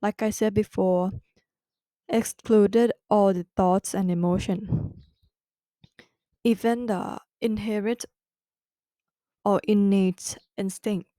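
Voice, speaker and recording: Thai-accented woman hosting a study podcast, slow and halting, mellow tone, dry close sound. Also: mid-range voice, steady tone, no echo. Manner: careful, halting second-language delivery with restarts. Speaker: podcast host. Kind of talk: educational podcast explanation.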